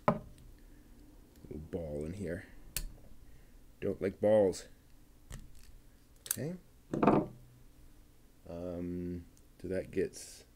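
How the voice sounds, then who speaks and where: Slow, low-voiced man building a model. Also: heavy background noise. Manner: unhurried, thinking aloud, muttering to himself. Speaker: livestream host building a model kit. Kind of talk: casual live commentary while assembling.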